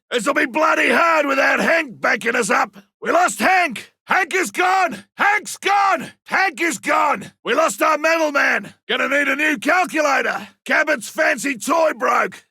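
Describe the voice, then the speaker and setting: A man voicing a gruff, hearty older Australian big-game hunter in a video game, gravelly, barking short battlefield lines. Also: very high-pitched, bright, forceful tone, dry recording, clean studio sound, full character voice. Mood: loud, rueful.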